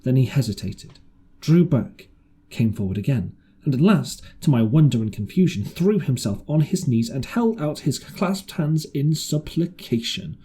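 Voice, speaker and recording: A man's voice: mid-range voice, expressive, moderate pace, warm tone, slightly rough. A man reading an adventure novel aloud as scripted audiobook narration. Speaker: audiobook reader.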